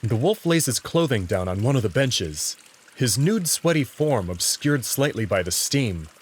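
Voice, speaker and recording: A man reading scripted, expressive first-person narration as the narrator of a visual novel. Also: mid-range voice, a little slow, slightly rough.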